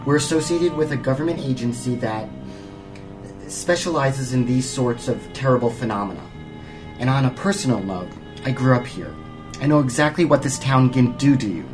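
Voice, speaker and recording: A man playing a confident federal investigator of the paranormal, with a medium-pitched voice. He is formal and assertive in an audio drama, with music underneath. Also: moderate pace, lightly acted, low-quality recording. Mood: reassuring. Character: self-assured, combative federal paranormal investigator, adult man.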